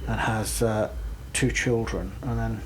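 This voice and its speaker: A man co-hosting a radio show, explaining things casually in a halting, matter-of-fact way. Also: fairly low pitch, fairly even intonation, poor audio. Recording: noticeable background noise.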